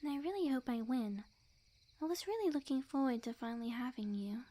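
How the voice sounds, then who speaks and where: A woman playing a sweet, clingy, lovesick young witch in an ASMR roleplay, speaking softly and breathily in an intimate, coy, earnest way. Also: sits high, animated, brisk. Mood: tender, hopeful. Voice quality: soft, breathy, often near-whisper.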